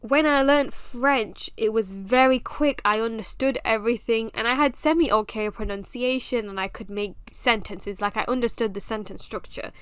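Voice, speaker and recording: A woman's voice: fairly high pitch, normal speed, few pauses, fairly bright tone, smooth, dry recording. A young British woman with a British accent, hosting a podcast and talking candidly, with self-mocking humor, in a casual monologue. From England. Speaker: podcast host.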